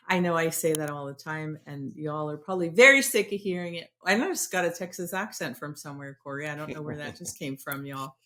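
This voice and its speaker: A woman hosts a podcast interview, chatting with easy, rambling excitement. Her pitch sits low, yet her tone is bright and forward. She often laughs at herself as she talks. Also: a little fast. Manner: excitable, rambling, self-deprecating. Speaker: podcast host. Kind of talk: casual interview chat.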